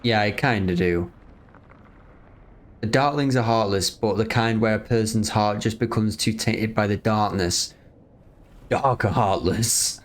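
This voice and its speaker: A man's voice: fairly low pitch, measured pace, long pauses. A man giving casual reaction commentary as a streamer, musing aloud and often trailing off mid-thought. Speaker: streamer reacting to a fan-dubbed game movie.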